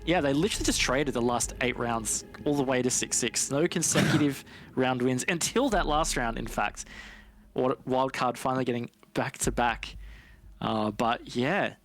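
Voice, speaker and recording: A man's voice: medium pitch, moderate pace. A man giving conversational live esports analysis as a co-commentator, informal, chatty and explanatory.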